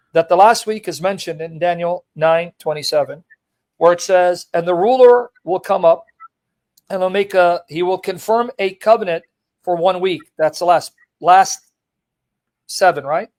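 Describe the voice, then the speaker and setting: Blunt high-voiced man on a call-in stream. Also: moderate pace, darkish tone. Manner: blunt, teasing, rambling while fumbling with his setup. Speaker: live-stream host debating callers. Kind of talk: casual call-in debate.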